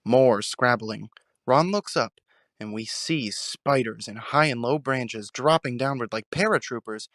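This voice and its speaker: A man delivering dramatic scripted narration with suspenseful, deliberate phrasing. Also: medium pitch, fairly bright tone, dry recording, studio quality.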